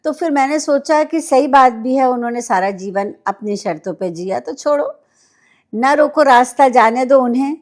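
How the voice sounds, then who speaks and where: A woman's voice: high-pitched, expressive, normal speed, darkish tone. A woman with an Indian accent hosts an interview podcast conversationally, speaking to her guest and to listeners. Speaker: podcast host.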